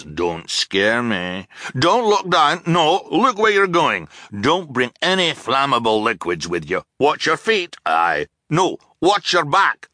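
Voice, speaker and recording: Scatterbrained captain, booming, high-pitched, bright, jumpy. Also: male voice, no echo, studio quality, exaggerated voice. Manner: booming, blurting contradictory orders. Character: cheerful scatterbrained enormous male submarine captain.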